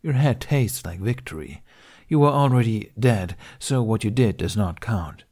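A man voicing a proud, smug, blunt male dragon with formal, deadpan phrasing in an audiobook. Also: on the low side, moderate pace. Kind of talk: audiobook character acting.

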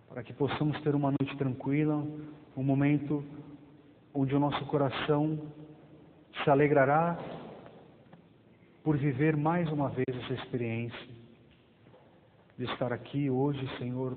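A man in his thirties who leads a soft, quiet prayer and then gives a lively church formation talk from a lectern, recorded on a lapel mic. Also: medium pitch. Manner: prays softly with eyes closed, then lectures with rising emphasis and tag questions. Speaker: lay formation speaker. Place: small parish hall with plain walls. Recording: reverberant hall, thin phone-like sound, faint hiss.

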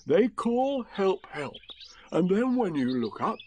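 A man voicing a naive, placid toy bear who speaks slowly and matter-of-factly in a storybook reading. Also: high voice, big pitch swings, darkish tone, a little hiss or hum, voice pushed up, full character voice. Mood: knowing, placid.

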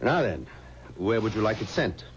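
An actor playing a proper, skeptical undertaker and boss in a radio mystery, courteous but commanding. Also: male voice, on the low side, big pitch swings, normal speed. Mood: courteous, firm. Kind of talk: 1970s radio mystery acting. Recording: dull old radio recording with noise.

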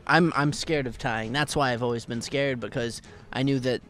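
A man's voice: mid-range voice, steady tone, normal speed, a bit bright, faint music behind. Young guy on podcast mic, playful jokey riffing, mid voice. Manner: playful, builds on jokes. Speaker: podcast co-host. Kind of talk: casual joking banter.

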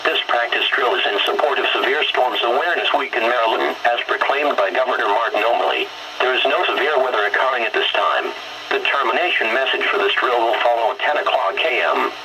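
A synthetic man's voice reading a formal automated weather bulletin in a flat, measured way through a radio speaker. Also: medium pitch. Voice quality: synthetic, robotic. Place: played from weather radio on wooden desk. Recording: very noisy weather radio, re-recorded in room.

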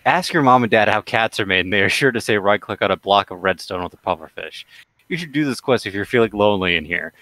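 Man, low voice, jokey, casual gaming chat, headset mic. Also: animated, quick pace, pushed and bright, no echo. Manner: teasing, jokey. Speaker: gamer.

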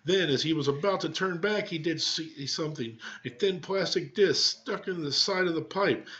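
A man's voice: fairly high pitch, moderate pace, a bit bright. Middle-aged guy reading a kids book to students, slightly muffled webcam mic, explains words casually. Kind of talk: read-aloud storytelling with word explanations. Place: small home room with cabinets, indoors. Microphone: webcam microphone at arm's length. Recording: dull webcam microphone sound.

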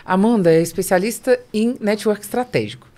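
A woman's voice: mid-range voice, a little fast, warm tone. A Brazilian woman interviewing guests conversationally as a podcast host, speaking into a close studio microphone. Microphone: close studio microphone on boom arm.

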